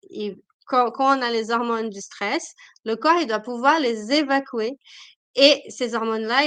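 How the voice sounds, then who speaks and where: Woman, slightly stuffy with a cold, higher voice, crisp and dry, hesitant with fillers, live stream. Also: a bit bright, dry recording. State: has a cold.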